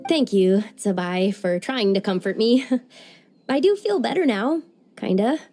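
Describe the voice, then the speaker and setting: A woman's voice: medium pitch, lively intonation, measured pace, natural voice. A woman voicing an earnest, wistful young woman from a strict tea-farming family in a game cutscene. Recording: soft game music underneath.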